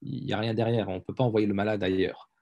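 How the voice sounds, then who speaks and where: A man's voice: fairly low pitch, fairly even intonation, fast, mellow, dull audio. A middle-aged man, a doctor talking as a guest in a casual interview, hesitant with many fillers and repeated words. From France. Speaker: guest, intensive care doctor.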